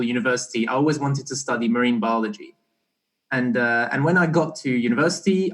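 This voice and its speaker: A young man being interviewed, explaining casually in a halting way and often restarting his phrases. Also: mid-range voice, fairly bright tone, poor audio. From Belgian-born, partly raised in Barbados. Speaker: interview guest, startup co-founder.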